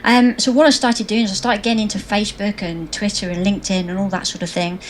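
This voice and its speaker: A woman's voice: medium pitch, steady tone, fast talker, slight background noise. A woman, a guest on an interview, telling her business story in a bubbly, anecdotal way and laughing easily. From England. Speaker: guest, social media trainer.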